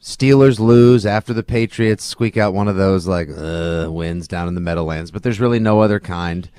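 A man's voice: deep voice, normal speed, dark tone, smooth, no room sound. A man co-hosting a sports podcast with casual, wry, colloquial football banter.

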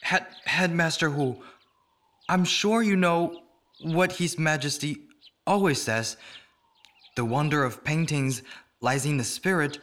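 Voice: mid-range voice, slow, long pauses, fairly bright tone. An actor playing an earnest, idealistic teenage boy who studies painting, outspoken and eager, in a radio drama. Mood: defiant.

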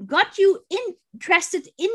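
A woman hosts an interview with a high voice and a slow pace. She sounds enthusiastic and fills her speech with "you know". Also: expressive, a bit bright. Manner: enthusiastic, filler-heavy. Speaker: interviewer, host. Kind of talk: conversational interview.

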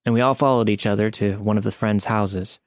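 A man's voice: lowish voice, fairly even intonation, brisk, dry recording, clean studio sound. A man reading a scary first-person story as scripted podcast narration.

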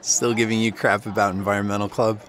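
A man's voice: on the low side, level tone, quick pace, a bit bright, a little hiss or hum, close to an everyday voice. A man playing a supportive, easygoing teenage boy in an audio drama, speaking casually and reassuringly. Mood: sympathetic. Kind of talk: naturalistic audio drama acting.

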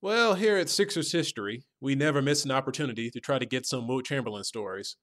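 An American man with a medium-pitched voice hosts a podcast. He introduces and links interview segments in a conversational, narrated style. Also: quick pace, a bit bright. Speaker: podcast host.

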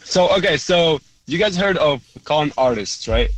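A man's voice: on the high side, animated, measured pace, a bit bright, rough recording. A man whose first language is Arabic, calling in to a radio show and chatting casually, rambling with many fillers and frequent laughs. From Lebanon. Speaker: caller. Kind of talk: casual radio call-in chat.

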